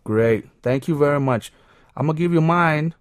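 A man's voice: on the high side, moderate pace, clean tone, no room sound, studio quality, close to an everyday voice. A man playing a friendly seventeen-year-old schoolboy in a classroom role-play.

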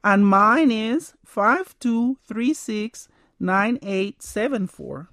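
A woman playing a sixteen-year-old schoolgirl, reading slowly and carefully. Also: mid-range voice, lively intonation, a bit bright, no room sound, studio quality, full character voice. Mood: calm. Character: quiet teenage schoolgirl, sixteen.

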